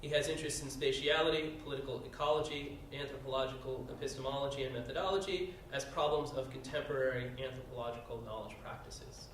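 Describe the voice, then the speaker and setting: A man's voice: medium pitch, steady tone, quick pace, hardly any pauses, a bit bright, slight background noise. Man introducing panelists in an echoey room. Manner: reads bios with frequent "uh" fillers. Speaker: panel moderator. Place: conference room. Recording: very echoey room, heard from a distance.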